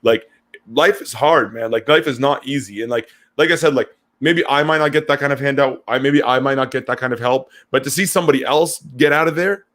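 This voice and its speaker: A young man from Syria co-hosting a casual podcast chat, talking in quick bursts with lots of stammered restarts. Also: mid-range voice, fairly bright tone. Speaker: podcast co-host.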